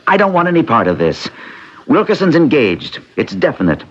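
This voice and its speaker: A man's voice: on the high side, huge pitch swings, normal speed. An actor playing an uneasy, reluctant man drawn into a deception, earnest and hesitant, in an old-time radio drama. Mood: troubled. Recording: dull old radio broadcast recording.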